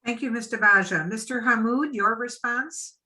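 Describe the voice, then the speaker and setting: A woman's voice: on the high side, moderate pace, bright, forceful tone. An American woman speaking formally as the moderator of a public forum, reading aloud and giving instructions.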